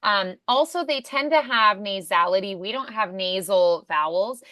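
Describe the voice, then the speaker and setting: A woman coaching in a conversational one-to-one lesson, encouraging and pausing to demonstrate sounds. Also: mid-range voice, expressive, sharp tone, dry recording. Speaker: voice acting coach.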